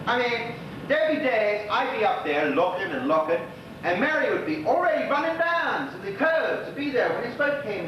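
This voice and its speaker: An actor playing a lonely, gossipy old widower with a Bermudian accent, rambling through neighbourhood memories in a folksy stage monologue. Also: male voice, dull audio. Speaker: actor in a one-act monologue. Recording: echoey hall from far off, noisy, rumbling.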